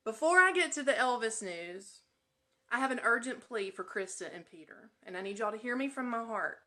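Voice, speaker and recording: Female host, Southern US accent: bright, slightly high voice, playful and expressive, informal live-stream chat with listeners. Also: a little fast. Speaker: radio show host. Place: at home in an apartment.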